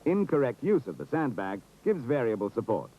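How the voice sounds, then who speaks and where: A man's voice: mid-range voice, very animated, brisk, slight background noise. A British man giving formal, precise, authoritative scripted narration for an instructional film. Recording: dull, muffled 1970s film soundtrack.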